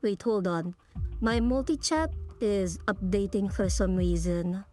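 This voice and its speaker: A woman's voice: mid-range voice, a little slow, warm tone, faint music behind, natural voice. A woman playing a casual, puzzled young adventurer in a video game, with a questioning manner. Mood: uneasy.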